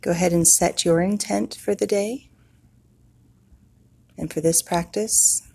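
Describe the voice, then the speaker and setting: A woman guides a meditation in a low, husky voice with a gravelly creak at the ends of phrases. She speaks very slowly and soothingly, leaving long pauses between short instructions. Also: fairly even intonation, darkish tone.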